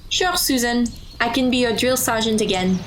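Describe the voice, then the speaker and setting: A woman's voice: on the high side, moderate pace, noisy background, natural voice. A woman playing a level-headed, good-natured teenage girl with a sensible, teasing manner in an audio drama.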